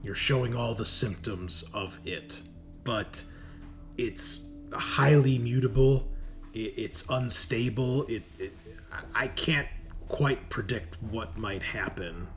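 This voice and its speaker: A man's voice: mid-range voice, faint music behind. A man playing a flustered, apologetic doctor who stammers and hedges in a tabletop game. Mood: uneasy, hesitant. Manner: halting, stammering, hedging. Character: flustered male doctor, hesitant, apologetic, bluntly practical. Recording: clearly noisy background.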